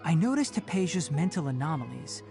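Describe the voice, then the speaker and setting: A man voicing a composed young forest scholar in a video game, speaking in an articulate, measured, formal way. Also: fairly high pitch, sing-song, vocal fry. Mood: serious, wry. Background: game music.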